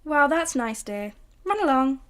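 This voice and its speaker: A woman's voice: high voice, animated, measured pace. A woman as a flustered mum. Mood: distracted. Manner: chatty and fussing, sharp when scolding. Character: fond, flustered suburban mother. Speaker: actor in a student radio drama. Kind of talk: radio drama acting.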